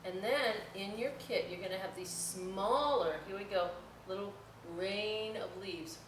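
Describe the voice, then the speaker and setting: A woman hosting a children's storytime, reading aloud and explaining crafts with folksy, chatty, expressive asides to young listeners. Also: mid-range voice, a little slow. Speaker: library storytime host. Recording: roomy echo, distant mic, faint noise.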